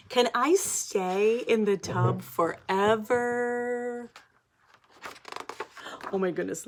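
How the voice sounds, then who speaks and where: Brief: female teacher, mid-range voice, animated read-aloud to young children with explanatory asides and questions. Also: mid-range voice, slight background noise.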